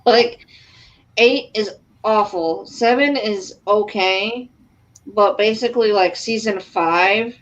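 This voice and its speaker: A woman's voice: mid-range voice, slow pace. A woman giving matter-of-fact, blunt opinions in a casual group chat about books and shows. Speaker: book discussion participant. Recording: dull, lo-fi sound.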